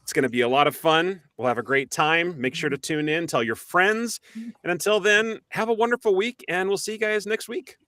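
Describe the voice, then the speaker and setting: A man's voice: sits high, moderate pace, pushed and bright. A man hosting a fan podcast, wrapping up an interview with chatty, upbeat sign-offs. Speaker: podcast host. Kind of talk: casual interview chat.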